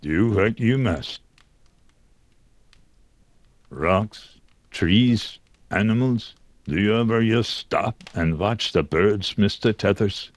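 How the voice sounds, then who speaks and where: A solemn mystic in a puzzle game, lowish in pitch and very unhurried. He is calm and enigmatic, giving out riddles in measured phrases. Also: no room sound. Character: calm, cryptic male mystic speaking in riddles. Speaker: voice actor in a puzzle adventure game. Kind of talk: cryptic game dialogue.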